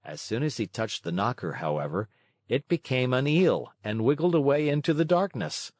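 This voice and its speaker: A man's voice: medium pitch, animated, normal speed, darkish tone, no room sound, clean studio sound. A man narrating a children's read-along storybook from a script.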